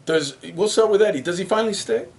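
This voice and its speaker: A man's voice: high-pitched, expressive, quick pace, vocal fry. Man hosting a casual sports chat. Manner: joking, runs the segments and asks the questions. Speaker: podcast host.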